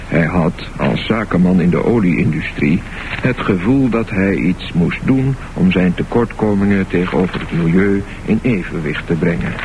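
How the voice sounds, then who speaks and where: A man narrating a scripted radio drama in measured, deliberate short phrases. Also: low voice. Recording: noisy, dull lo-fi radio recording.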